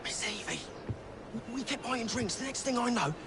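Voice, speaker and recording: An actor playing a hapless, pleading street gang member in a video game, with noise behind him. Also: male voice, very high voice, expressive, normal speed. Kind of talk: video game cutscene acting.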